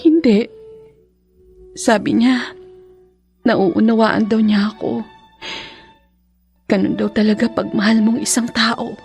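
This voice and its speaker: An actress playing a devoted young wife in a radio drama, speaking earnestly and tenderly. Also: female voice, a little high, fairly even intonation, takes it slowly, vocal fry, long pauses, darkish tone, faint music behind. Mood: earnest, confiding.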